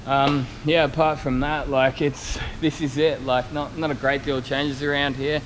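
A man's voice: mid-range voice, level tone, moderate pace. A man in his thirties giving a casual, rambling, blokey walkaround vlog as a car painter, recorded on a handheld camera in a large workshop. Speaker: car spray painter and YouTube vlogger. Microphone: action camera at arm's length. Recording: clear workshop noise, handheld action camera.

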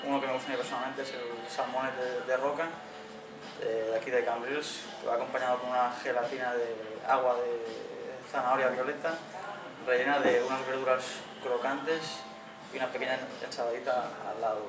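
Man, flat and even voice. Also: medium pitch, normal speed. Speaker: head chef. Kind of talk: interview answers. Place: restaurant dining room, indoors. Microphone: far camera mic. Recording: echoey room from far off, with background noise.

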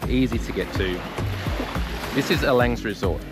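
A man's voice: medium pitch, moderate pace, loud music behind the voice. A man narrating a family travel vlog outdoors at an island resort, enthusiastic and tour-guide like. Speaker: travel vlogger.

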